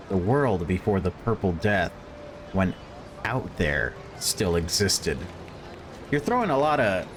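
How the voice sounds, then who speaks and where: A man with a fairly low voice reads a story game aloud in an expressive, casual way. His delivery moves between narration and wry, joking commentary. There is some noise behind his voice. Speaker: video game let's player reading the game aloud.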